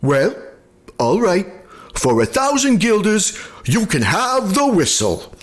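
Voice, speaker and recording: A sly, poor peasant farmer from a fairy tale talks in a slightly raised, brisk voice, played by a storyteller. He sounds crafty and sure of himself. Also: medium pitch, huge pitch swings, pitched above the performer's own voice. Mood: scheming.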